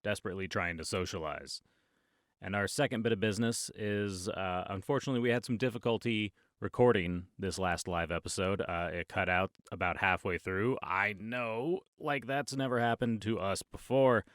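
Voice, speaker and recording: A man hosting a podcast, giving informal, low-key announcements to listeners. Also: moderate pace, fairly bright tone, no echo, studio quality. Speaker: podcast host.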